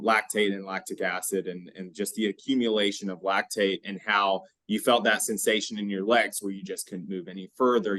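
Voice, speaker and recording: A man hosting a podcast, explaining exercise science in a conversational, halting way with frequent check-in questions. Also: lowish voice, fairly bright tone, no room sound.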